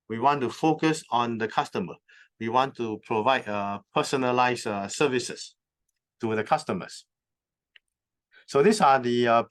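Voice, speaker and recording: A man gives a conference presentation at a mid-pitched, even level. He talks in a halting way, stopping on frequent fillers as he explains each term step by step. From recently moved from North America. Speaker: conference speaker. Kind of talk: presentation talk. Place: conference venue.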